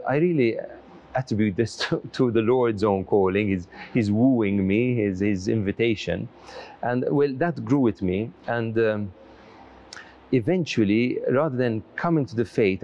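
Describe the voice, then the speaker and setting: A man's voice: lowish voice, a little mellow, lo-fi sound. A middle-aged man from Malta, a priest, answering interview questions in an earnest, reflective way with frequent restarts, seated in a large church. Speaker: priest and lecturer.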